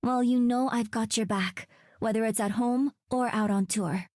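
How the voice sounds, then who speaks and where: A woman's voice: a little high, steady tone, moderate pace, darkish tone, dry recording, close to an everyday voice. Girl doing a bubbly pop star game hero, dry booth sound. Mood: playful. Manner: playful, teasing. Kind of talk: video game voice acting.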